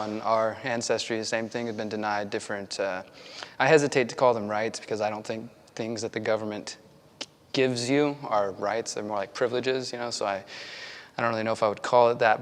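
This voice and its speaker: A man in his thirties from Texas, arguing his case in a measured, skeptical way in a group discussion. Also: lowish voice, a bit bright. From Texas, USA. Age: 30s. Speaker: discussion participant. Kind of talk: debate discussion. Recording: roomy hall reverb, faint hum.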